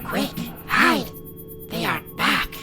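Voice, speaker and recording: A woman's voice: slow pace, close to an everyday voice. A woman voicing an eerie, cryptic hybrid creature in an audio horror drama. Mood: alarmed. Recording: loud music behind the voice.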